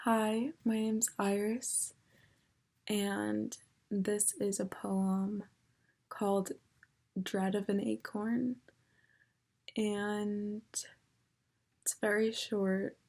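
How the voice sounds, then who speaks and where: Soft-spoken woman poet, slow and flat. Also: medium pitch. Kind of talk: poetry reading. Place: at home. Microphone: video call.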